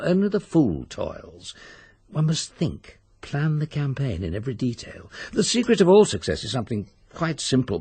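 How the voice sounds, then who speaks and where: A male actor with a British accent playing a wealthy, self-assured invalid aesthete in a radio drama, speaking in a measured, grandiloquent way. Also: mid-range voice, gravelly, slightly rough, no echo, full character voice. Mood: musing.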